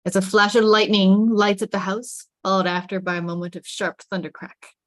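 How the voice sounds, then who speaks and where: A woman's voice: mid-range voice, flat delivery, moderate pace, dry recording. A woman reading stage directions as dramatic scripted narration, with suspenseful, emphatic phrasing.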